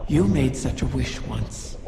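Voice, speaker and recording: A woman playing a sly, taunting ancient dragon in a video game, speaking slowly and insinuatingly. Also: extremely low pitch, animated, gravelly, noisy background, heavily acted. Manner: slow, insinuating, deliberate. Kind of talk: video game cutscene acting. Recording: long cavernous reverb under loud music.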